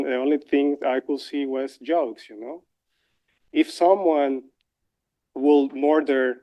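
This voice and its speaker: A man's voice: medium pitch, muffled recording. Venezuelan man telling his story. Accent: Venezuelan Spanish. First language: Spanish. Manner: halting, frequent fillers and restarts. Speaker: lecturer. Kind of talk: personal storytelling lecture.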